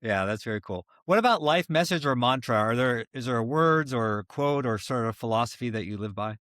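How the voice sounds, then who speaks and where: A man's voice: medium pitch, animated, brisk, a bit bright, no echo, clean studio sound. A man hosting a podcast, asking interview questions and giving brief affirmations. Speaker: podcast host.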